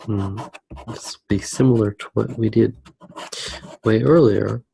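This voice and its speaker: A man speaks very slowly in a mellow, dark tone with plenty of gravelly fry. He is soft-spoken in an ASMR style, hesitating and leaving pauses mid-sentence as he narrates. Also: fairly low pitch, fairly even intonation, slight background noise, poor audio. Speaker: ASMR art video host.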